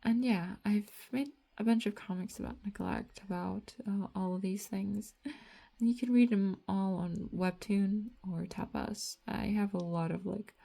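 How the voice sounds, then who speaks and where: A young woman with a Latvian accent talks to camera after a night shift, worn out and unhurried. Her voice sits at an ordinary middle pitch, and she speaks in slow, halting bits. She stops often for fillers and soft laughs. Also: gravelly. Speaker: vlogger. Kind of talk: rambling casual monologue.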